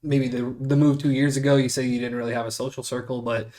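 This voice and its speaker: A man's voice: mid-range voice, level tone, fast, a little mellow. A man hosting a podcast interview over a video call, talking in an easygoing, candid way. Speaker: podcast host. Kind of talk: casual interview.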